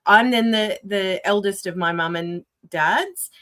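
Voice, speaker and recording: A woman's voice: mid-range voice, unhurried, fairly bright tone. A middle-aged woman with an Australian accent, talking reflectively and candidly as a guest in a conversational interview. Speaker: podcast guest.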